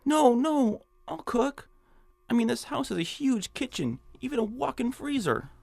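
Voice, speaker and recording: An actor playing a nervous, hapless hypochondriac in an audio drama, fretful and stammering. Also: male voice, extremely high pitch, sing-song, a little slow, vocal fry, darkish tone, no echo, exaggerated voice. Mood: flustered. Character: nervous, whiny nebbish hypochondriac man, eager and hapless. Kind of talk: audio drama acting in old-time radio suspense style.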